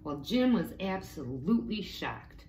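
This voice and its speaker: Female story-time reader: slow and expressive, talking to young children, roomy sound with faint noise. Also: on the low side. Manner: expressive, explains pictures to young listeners. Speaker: teaching assistant reading a storybook to children. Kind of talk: read-aloud story time with asides to children. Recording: echoey room with faint background noise.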